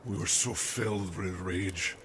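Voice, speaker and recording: A man playing a haunted, fatherly older army captain in a dramatic radio play, speaking gravely and deliberately over noisy ambience. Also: low-pitched, steady tone, a bit bright. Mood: haunted, weary. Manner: grave, deliberate storytelling, calls listener "laddie". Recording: noisy amateur audio drama with outdoor ambience.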